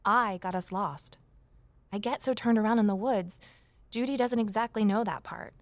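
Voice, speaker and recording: Actress doing candid woman narrating her own audio drama, kinda wry, pokes fun at herself, normal pitch. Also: moderate pace, no echo, natural voice. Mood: candid, reflective. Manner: candid, wry, self-deprecating asides. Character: wry, candid woman, former journalist starting over. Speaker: lead character and first-person narrator. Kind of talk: scripted first-person audio drama narration.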